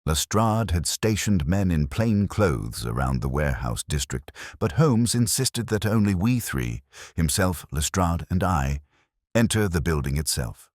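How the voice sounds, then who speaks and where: A man narrating a detective story from a script in a measured, solemn, dramatic manner. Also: sits low, very animated, a little mellow, no echo, studio quality. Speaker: audiobook narrator.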